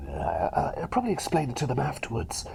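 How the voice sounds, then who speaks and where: A man playing a cheery, self-doubting plague doctor in an ASMR roleplay, whispering breathily and softly, with hesitant, repetitive rambling that seeks approval. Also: mid-range voice, wildly swooping pitch, quick pace, a little hiss or hum, exaggerated voice. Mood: eager, rambling. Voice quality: breathy whisper mixed with soft voice. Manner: hesitant, rambling, repeats phrases, eager for approval.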